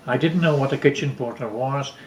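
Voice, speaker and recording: An older man from Wales telling stories from his life casually, haltingly, repeating words as he recalls them. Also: mid-range voice, lo-fi sound, a little hiss or hum. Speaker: father telling family stories. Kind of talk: casual storytelling, reminiscing. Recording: roomy, echoey home recording.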